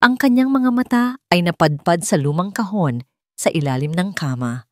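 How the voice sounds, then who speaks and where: A woman's voice: on the low side, animated, moderate pace, a little mellow, no echo, clean studio sound. A woman narrating a scripted story in a dramatic storytelling style.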